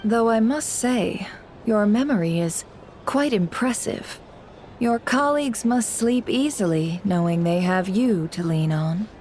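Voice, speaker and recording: A woman playing a sly, smooth-talking businesswoman in a video game, coy, polite and measured. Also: mid-range voice, animated, a little mellow, slight background noise, natural voice. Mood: coy, teasing.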